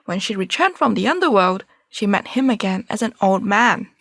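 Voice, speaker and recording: A woman's voice: mid-range voice, lively intonation, moderate pace, fairly bright tone, no echo, studio quality. A woman acting a wry, curious young Hong Kong woman in conversational drama dialogue. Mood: storytelling. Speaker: actor in a student play.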